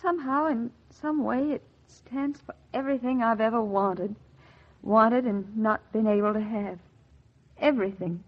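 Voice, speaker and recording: An actress playing a wistful, embittered housewife in 1940s radio style, intense and melodramatic, repeating phrases for emphasis. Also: female voice, a little high, a little slow. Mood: pleading, desperate. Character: wistful, embittered housewife yearning for one luxury. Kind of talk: 1940s radio acting, dramatic monologue and dialogue. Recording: muffled 1940s radio recording with music.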